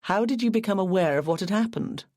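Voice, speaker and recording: A woman voicing a calm, kindly female police detective who questions gently and reassuringly. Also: medium pitch, fast talker, no echo, studio quality, pitched above the performer's own voice, natural voice.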